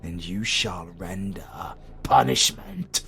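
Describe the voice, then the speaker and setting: An actor with a British accent playing a pompous, melodramatic retired dark lord in a comedy audio roleplay, husky and easily outraged. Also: male voice, sits low, animated, takes it slowly, heavily acted. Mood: menacing, summoning. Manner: grandiose, melodramatic, sardonic.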